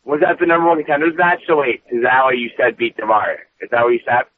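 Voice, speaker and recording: A high-energy man with a booming voice, co-hosting a casual wrestling recap and swearing freely. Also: steady tone, fast. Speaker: podcast co-host. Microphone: phone call. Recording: dry tinny phone line.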